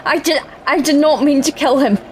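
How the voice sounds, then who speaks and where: A woman voicing a shaken commander in an audio drama, halting and uneasy. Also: sits high, a loud crowd, close to an everyday voice.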